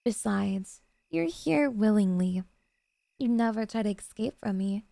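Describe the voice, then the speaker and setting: A woman acts out a doting yandere girlfriend for an ASMR roleplay, with a dark, mellow tone and a touch of creak. She speaks softly and intimately, sweet yet possessive and obsessive, in a very dry, close recording. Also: medium pitch, lively intonation, measured pace, no echo.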